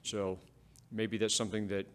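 Measured man at a council meeting. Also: lowish voice, level tone. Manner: measured, with frequent fillers and restarts. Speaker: city staff presenting to council. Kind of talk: public meeting discussion. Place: council chamber.

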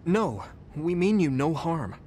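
A man's voice: on the high side, sing-song, takes it slowly, warm tone, a little hiss or hum. An actor playing a kind, steadfast young male soldier in an anime game, earnest and reassuring.

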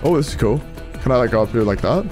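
Young male streamer, jokey and profane, lively voice over game music. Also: mid-range voice, quick pace. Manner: joking, chatty, swears freely. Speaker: gameplay streamer. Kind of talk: casual gameplay commentary.

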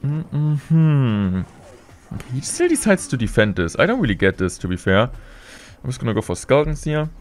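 A young man streaming gameplay from a room indoors, talking through each move in steady play-by-play with excited bursts at big plays, a little sick. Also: medium pitch, normal speed. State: slightly sick. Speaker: game streamer. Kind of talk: live gameplay commentary.

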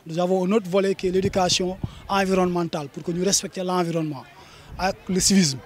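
A man's voice: high voice, steady tone, fast talker. A man in his thirties with a Senegalese accent, speaking as an association official in an outdoor interview. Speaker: association vice-president. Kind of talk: on-camera interview. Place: outdoors on a sandy football pitch. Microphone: handheld reporter microphone.

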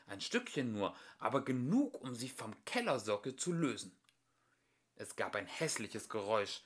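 A man's voice: mid-range voice, a bit bright. A man narrating a children's story expressively, as an amateur audiobook reader.

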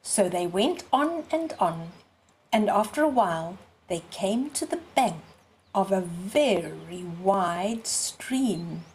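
A woman in her sixties reading aloud expressively for young children, phrase by deliberate phrase. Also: mid-range voice, animated, a little mellow, a little hiss or hum. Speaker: storyteller.

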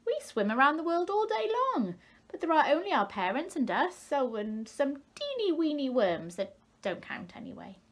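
A woman's voice: high voice, big pitch swings, normal speed, voice pushed up. A woman voicing vain, childish, stubborn little tadpoles in a storybook, boastful and scornful.

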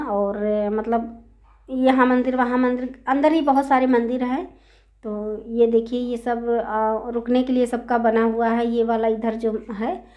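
A woman's voice: fairly high pitch, dark tone. A woman narrating a temple visit as a vlog voice-over, chatty with many fillers, her throat a little sore. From India. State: sore throat, occasional cough. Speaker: vlogger. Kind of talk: casual travel vlog voice-over. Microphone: voice-over recorded separately.

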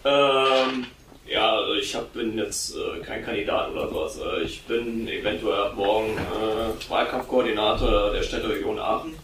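A man's voice: on the low side, moderate pace, distant mic. Young male participant, hesitant self-intro, recorded from across an echoey room with hiss. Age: young adult. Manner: hesitant, many fillers. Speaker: student participant. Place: seminar room, indoors. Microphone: far camera.

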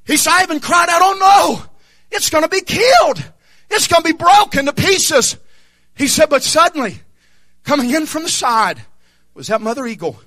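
High, shouting young preacher. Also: male voice, animated, normal speed. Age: young adult. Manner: fervent, half-singing calls of amen and hallelujah. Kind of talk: shouted revival sermon.

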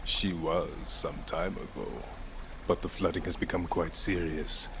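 An actor playing a menacing, bitter undead villain soldier with a deliberate, taunting delivery in a video game cutscene. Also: male voice, deep voice, flat delivery, noisy background. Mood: mocking, grave. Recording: loud music over the voice.

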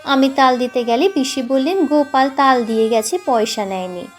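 A woman's voice: sits high, normal speed, lightly acted. A woman voicing a scornful, practical boy scolding as an older brother does, in an audiobook story with music. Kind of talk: audiobook character voice. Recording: music under the voice.

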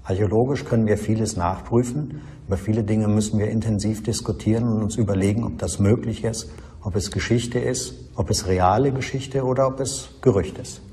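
A man with a low, very mellow voice speaks as an expert being interviewed. He explains deliberately, often repeating a phrase to stress it. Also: fairly even intonation, a little hiss or hum.

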